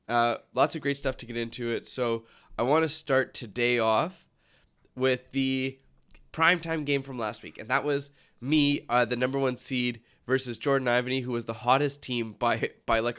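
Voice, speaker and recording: A man's voice: mid-range voice, a bit bright, clean tone. A young man with a cold and a stuffy nose, hosting a casual sports podcast and rambling with many fillers and restarts. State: congested from a cold. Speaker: podcast host.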